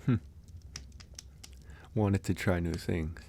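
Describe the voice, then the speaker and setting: A man playing a gentle, reassuring young werewolf who lives alone on a mountain, soft-spoken in an ASMR roleplay. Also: deep voice, steady tone, slow, creaky, a little hiss or hum, exaggerated voice. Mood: gently chiding.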